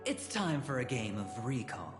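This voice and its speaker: A man's voice: mid-range voice, sing-song, moderate pace, close to an everyday voice. A man playing a suave, teasing young gambler in a video game, with a playful, theatrical drawl. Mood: smug, teasing. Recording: echoey reverberant hall, clear music behind.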